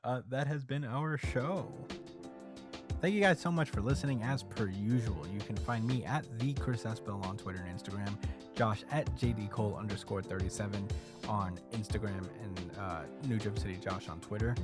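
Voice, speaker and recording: A man's voice: fairly low pitch, normal speed, over music. A man co-hosting a podcast in casual chat. He laughs easily and keeps a loose, chatty flow. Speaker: podcast co-host.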